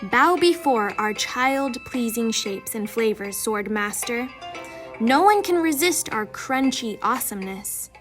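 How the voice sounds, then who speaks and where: A woman's voice: a little high, expressive, measured pace, a bit bright, soft background music. Woman voicing pompous dinosaur nugget creatures.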